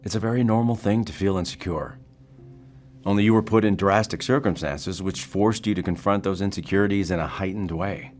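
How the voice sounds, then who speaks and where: Man acting a deep-voiced, measured therapist. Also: steady tone, darkish tone, lightly acted. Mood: clinical, measured. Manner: clinical, measured. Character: measured, probing male psychotherapist. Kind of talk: audio drama acting.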